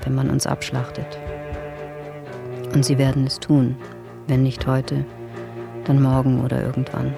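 Sombre prisoner woman reading out her letter, radio play, flat n gravelly, music behind. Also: extremely low pitch. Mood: grave, mournful. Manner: sombre, measured, restrained. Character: woman prisoner on a forced march, writing a farewell letter, grave. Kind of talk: radio drama monologue, letter read aloud.

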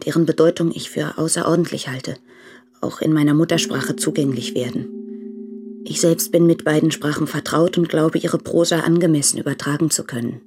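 A woman playing an earnest, troubled married letter writer in a radio play, reading in a formal, measured manner. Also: sits low, close to an everyday voice. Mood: earnest, respectful.

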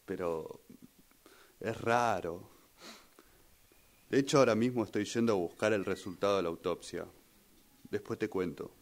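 Un hombre con acento argentino que interpreta en un radioteatro a un hijo en duelo, dubitativo y lleno de sospechas. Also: male voice, fairly low pitch, moderate pace, pauses often, close to an everyday voice. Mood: uneasy, suspicious. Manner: hesitant, repeats phrases. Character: grieving son troubled by suspicions over his father's death. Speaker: radio drama workshop participant. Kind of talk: rehearsed radio drama acting.

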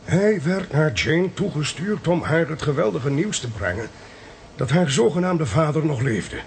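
Dutch secret agent in a radio drama, authoritative, higher voice that swoops around, some background noise. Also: male voice, lively intonation, a little slow, gravelly. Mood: grave. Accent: Netherlands Dutch. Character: authoritative male intelligence agent explaining a spy plot. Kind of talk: Dutch radio play acting.

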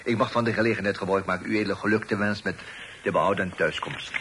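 An actor playing a deferential, fussy informant in a radio play, affectedly formal and hesitant, dropping French phrases. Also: male voice, fairly low pitch, steady tone, fast talker, a bit bright, lightly acted. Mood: officious, deferential. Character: deferential, fussy male police informant peppering speech with French. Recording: faintly noisy old radio recording.

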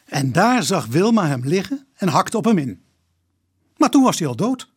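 An actor playing a matter-of-fact police investigator in a radio drama, explaining. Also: male voice, sits high, sing-song, moderate pace, breathy edge, exaggerated voice.

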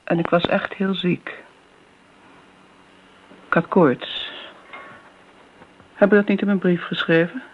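A woman's voice: on the low side, fairly even intonation, slow, long pauses, slight background noise. An actress playing an elderly, wistful and fussy family friend in a Dutch radio play, rambling and flustered as she justifies herself. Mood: defensive. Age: older. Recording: dull, band-limited old radio recording.

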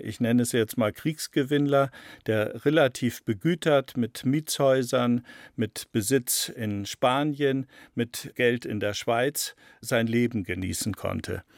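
A man giving analytical interview answers as an expert, deliberate and explanatory, stressing key words. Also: medium pitch, gravelly, no echo, clean studio sound. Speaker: interviewed expert on a criminal case.

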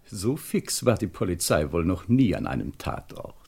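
A man playing a haughty, dry-witted butler with a gravelly voice in a 1970s radio comedy thriller, stiff and condescending. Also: deep voice, sing-song, normal speed, darkish tone, full character voice.

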